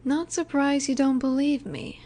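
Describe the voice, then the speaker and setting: Soft, mellow, high-voiced female mafia boss acted by a woman. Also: steady tone. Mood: calm, warning. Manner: soft, unhurried, soothing yet in command. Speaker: audio roleplay voice actor. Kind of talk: intimate scripted audio roleplay, addressed to listener.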